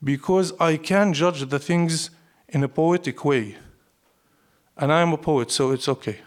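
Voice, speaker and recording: Emphatic Syrian-accented man, higher-pitched voice. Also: measured pace. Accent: Syrian Arabic. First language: Arabic. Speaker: poet, interview guest. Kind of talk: live interview, reflective storytelling.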